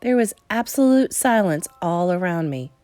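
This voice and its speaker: A woman reading a children's novel aloud as dramatic narration, with suspenseful emphasis and deliberate pauses. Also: on the low side, expressive, dark tone, clear, resonant tone, no echo. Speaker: storybook reader.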